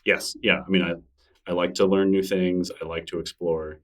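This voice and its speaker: A middle-aged man with a deep, low voice chats as a podcast guest. He talks in a loose, self-deprecating way, often restarting his sentences. He sits a little off the mic. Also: normal speed, far from the mic. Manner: relaxed, rambling, self-deprecating with frequent restarts. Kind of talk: casual interview conversation.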